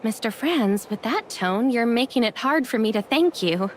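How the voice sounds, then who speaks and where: Woman acting a composed, higher-voiced young heroine. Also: lively intonation, normal speed, a little hiss or hum, lightly acted. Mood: wry, polite. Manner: polite, straightforward. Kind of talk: scripted anime game dialogue.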